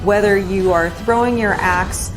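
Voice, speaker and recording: A woman's voice: mid-range voice, a little slow, poor audio. A woman speaking as a game developer in a promotional interview. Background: music bed.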